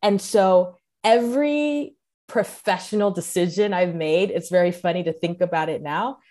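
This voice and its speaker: A woman's voice: fairly low pitch, moderate pace, dark tone. A woman in her thirties with an American accent, chatting as a podcast guest, laughing often and poking fun at herself. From United States and Cameroon. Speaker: podcast guest, career counselor. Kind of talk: casual interview conversation.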